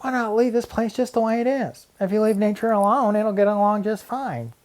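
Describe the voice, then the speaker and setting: A man voicing a loud, protesting young schoolboy with emphatic, exclamatory delivery for a children's story. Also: high-pitched, fairly quick, pitched above the performer's own voice, lightly acted. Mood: indignant.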